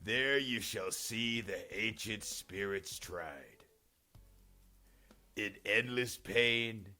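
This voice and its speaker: A man's voice: on the low side, bright, forceful tone, exaggerated voice. A man voicing the ghost of an ancient poet, a grave prophetic guide, declaiming solemnly in an epic poetry reading. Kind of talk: dramatic storybook poetry reading.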